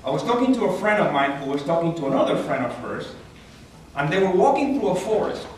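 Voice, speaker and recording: A man with a Puerto Rican accent gives an earnest, animated inspirational talk as a guest speaker at a church. His voice sits a little high for a man. He is picked up from some distance in a very echoey hall, with faint noise behind him. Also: fast. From Puerto Rico. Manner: earnest, animated, rises in pitch when stressing a point. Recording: echoey hall, taken from far off.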